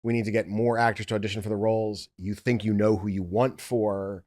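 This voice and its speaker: Low-voiced man chatting as a producer. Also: level tone, brisk, a little mellow. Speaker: audiobook executive producer. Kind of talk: casual behind-the-scenes conversation.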